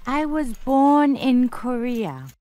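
A woman voicing a friendly young woman in a scripted dialogue for language learners, speaking slowly and carefully. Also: high-pitched, very animated, warm tone, dry recording, exaggerated voice. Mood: calm. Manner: slow, carefully spoken.

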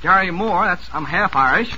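A man with a high voice runs a 1940s radio quiz show in a jovial, teasing way. He reads out listener questions and ribs the contestants. The sound is a hissy, noisy old broadcast. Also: expressive, normal speed, pushed and bright, highs cut off.

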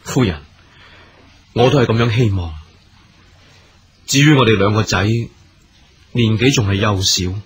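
A man's voice: lowish voice, a little hiss or hum, natural voice. A man acting a courteous, earnest military commander in a period radio drama, speaking formally in Hong Kong Cantonese. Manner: formal, stately, gracious.

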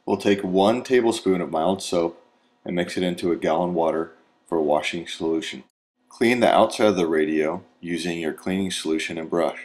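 A man's voice: deep voice, moderate pace, darkish tone. An American man narrating a scripted training video as an instructor, in a methodical, step-by-step manner.

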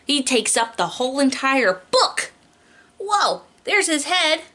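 A woman's voice: high-pitched, big pitch swings, takes it slowly, bright, forceful tone. A young adult woman, a preschool teacher doing a picture book read-aloud in an exaggerated, child-directed way, stretching key words.

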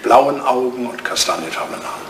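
An actor playing an ageing English lord in a retro German radio play, a vain, jealous suitor with a droll, drily teasing, theatrical manner. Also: male voice, medium pitch, lively intonation, brisk, a bit bright, natural voice. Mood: curious, dry. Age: older. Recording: distant, roomy, noisy old radio recording.

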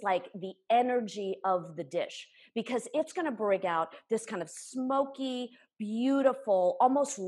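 A woman's voice: mid-range voice, breathy edge. A woman in her forties giving a live cooking demonstration in her home kitchen, explaining each step with enthusiasm while she chops. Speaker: cooking instructor. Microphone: camera a few feet away.

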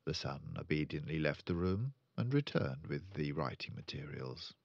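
An older man reading a children's book aloud as a storyteller, with deliberate, expressive phrasing. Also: very deep voice, vocal fry, no echo, muffled recording. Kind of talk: children's audiobook narration.

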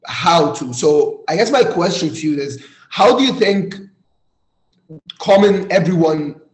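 A man from Egypt hosting a casual interview, phrasing things in a thoughtful, halting way. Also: a little high, lively intonation. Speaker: interviewer, host. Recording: roomy, echoey sound.

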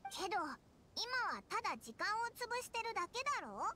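A woman voicing a small, childlike fairy companion in a Japanese anime game, squeaky, cheeky and blunt. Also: normal speed, a little hiss or hum, heavily acted. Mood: curious. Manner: blunt, excitable. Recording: game music underneath.

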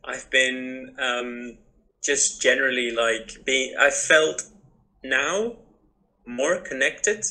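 A man's voice: medium pitch, bright, forceful tone, low-quality recording. A young man, answering interview questions conversationally on a video call, often repeating and rephrasing as he goes. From Spain. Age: young adult. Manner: halting, repeats and rephrases, frequent "like". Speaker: interviewee, business owner. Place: indoors in a home room. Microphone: laptop on a video call.

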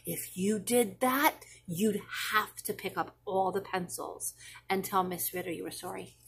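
Patient, husky-voiced storybook mom, read by a woman. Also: mid-range voice, a little slow, a bit bright, exaggerated voice. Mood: patient, playful. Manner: soothing, thoughtful, playful answers. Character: patient, playful, reassuring storybook mother. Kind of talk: expressive children's storybook reading.

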